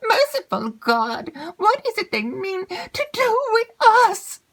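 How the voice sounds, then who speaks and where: A woman's voice: sing-song, slow, fairly bright tone, exaggerated voice. A woman voicing a frightened older aunt who speaks in a raised, fearful voice. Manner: shrill and fearful. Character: anxious older aunt, a proud lady gripped by fear.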